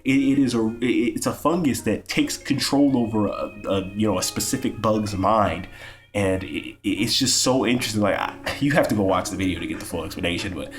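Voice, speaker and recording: A man's voice: on the low side, a little fast. Sniffly young man rambling a game review. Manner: rambling, profane, stammering restarts. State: sick with a cold, sniffling. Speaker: gaming YouTuber.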